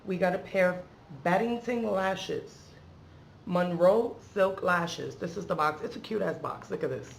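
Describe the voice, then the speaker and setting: Playful woman vlogger with a creaky voice. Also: on the low side, slight background noise. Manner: playful, slangy, rambling with asides. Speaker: beauty vlogger and channel host. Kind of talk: casual chatty unboxing vlog.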